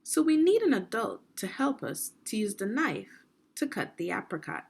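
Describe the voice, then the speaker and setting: A woman reading aloud as a teacher for young children, explaining things deliberately and checking in with questions. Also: medium pitch, sing-song, vocal fry, husky.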